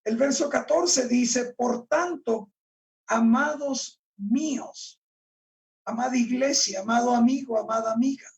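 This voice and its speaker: A male pastor delivers a sermon, reading verses slowly and pressing on each key word. His voice is recorded from a distance in a church, so it sounds a little removed. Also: very high-pitched. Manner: slow, deliberate, emphatic, stresses key words. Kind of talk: sermon, reading and explaining scripture.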